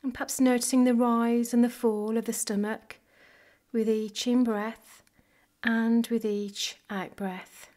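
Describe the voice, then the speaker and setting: Slow-paced woman guiding meditation. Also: fairly high pitch, flat delivery. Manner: gentle, measured, unhurried phrasing with pauses. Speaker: meditation guide. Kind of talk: guided body scan meditation.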